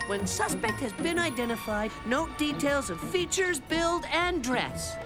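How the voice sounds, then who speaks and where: Earnest little cartoon boy, pompous, high voice, slow delivery, loud music underneath. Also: expressive. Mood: self-important. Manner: pompous, deliberate. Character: earnest, self-important little boy, amateur detective. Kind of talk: animated children's cartoon dialogue.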